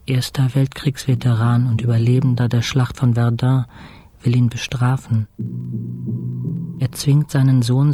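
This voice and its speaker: A man acting a weary, embittered intensive-care patient, narrating a radio drama in terse, deliberate fragments. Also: medium pitch, mellow. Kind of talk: scripted first-person radio drama narration.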